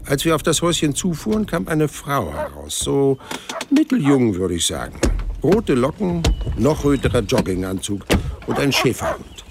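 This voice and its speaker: A man's voice: mid-range voice, huge pitch swings, moderate pace, creaky. Wry laid back narrator guy, crackly voice, super swoopy, music under, audio drama. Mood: relaxed, reminiscing. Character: wry, easygoing male storyteller recalling his journey.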